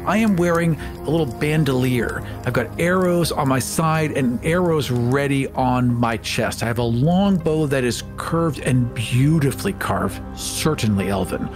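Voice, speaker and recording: Male game player, very expressive intonation, describing his character with music behind him. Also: medium pitch. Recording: music bed under the voice. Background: background music.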